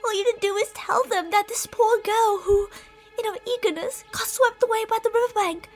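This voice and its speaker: Very high-pitched, possessive lamia. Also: female voice, a little fast, faint music behind. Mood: coaxing, threatening. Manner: haughty, theatrical, sweetly gaslighting formal speech. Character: possessive, delusional female lamia monster, lovestruck and menacing. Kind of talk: ASMR roleplay monologue.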